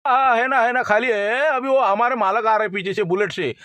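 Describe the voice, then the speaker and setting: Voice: medium pitch, steady tone, brisk, fairly bright tone, no room sound, natural voice. A performer voicing an easygoing outsider harvester operator in colloquial, repetitive Hindi for a cartoon comedy.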